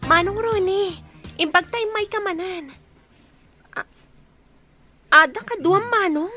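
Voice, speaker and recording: An actress playing a sweet young woman in a radio drama, speaking gently and earnestly. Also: extremely high pitch, a little slow, over music. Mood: concerned.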